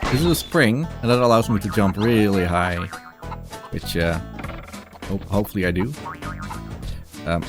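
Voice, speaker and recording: A man's voice: lowish voice, moderate pace, pauses often, over music. A man giving casual live speedrun commentary, explaining things in a hesitant, dryly humorous way.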